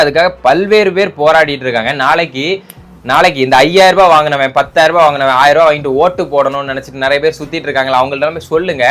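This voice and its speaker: A man's voice: a little high, fairly bright tone. A man from giving casual political commentary, arguing and mocking with rhetorical questions. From Tamil Nadu, India.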